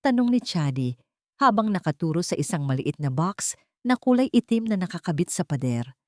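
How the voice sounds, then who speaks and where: A woman's voice: fairly low pitch, very animated, normal speed, no echo, clean studio sound. A woman narrator reading a scripted story in a dramatic style.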